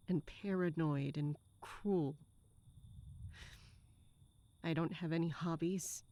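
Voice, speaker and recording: A woman playing a weary, bitter guard who grew up on the streets, slow, downcast and self-reproaching, in an audio drama. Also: on the low side, mellow.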